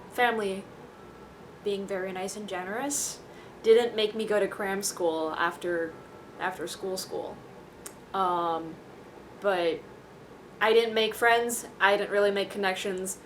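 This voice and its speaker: A woman's voice: medium pitch, a little hiss or hum. A young woman from Taiwan talks casually to camera in a rambling, self-deprecating, dryly joking way. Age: young adult. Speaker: vlogger.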